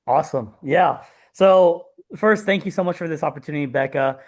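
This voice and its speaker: A man's voice: fairly high pitch, normal speed. A man from Texas giving a casual self-introduction as a participant on a group video call. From Texas, United States. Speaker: missionary program participant being interviewed.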